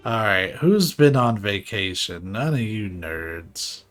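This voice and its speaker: A man's voice: on the low side, very animated, slow, a bit bright. Casual, rambling male game streamer. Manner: informal, rambling, joking. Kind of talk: casual live game commentary. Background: faint game music.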